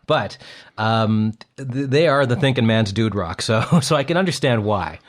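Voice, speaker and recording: Man, Canadian accent, lowish pitch, wry and deadpan, casual podcast co-host. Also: moderate pace. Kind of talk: casual chat.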